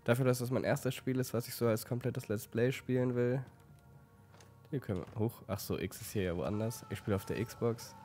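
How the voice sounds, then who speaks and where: A man with a slight cold giving relaxed let's play commentary, stumbling now and then while reading game text aloud. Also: medium pitch, fairly quick, soft background music. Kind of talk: casual gameplay commentary.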